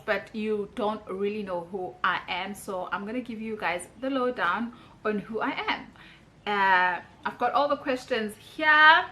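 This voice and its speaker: Bright-voiced South African woman vlogger. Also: a little high. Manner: chatty, reads out questions then answers them. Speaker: YouTuber. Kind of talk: casual get-to-know-me vlog.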